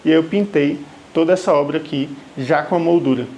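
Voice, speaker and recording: A man's voice: a little high, brisk, darkish tone, lo-fi sound. A man from Salvador, Brazil, who works as a live wedding painter, answering viewer questions to camera in a friendly, reassuring way and often checking in with the viewer. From Salvador, Bahia, Brazil.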